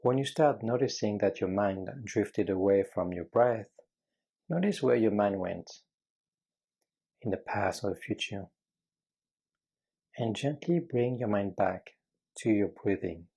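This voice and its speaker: Slow, husky, low-voiced man guiding meditation. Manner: gentle, measured, frequent pauses. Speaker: meditation guide. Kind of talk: guided meditation, calm slow instruction.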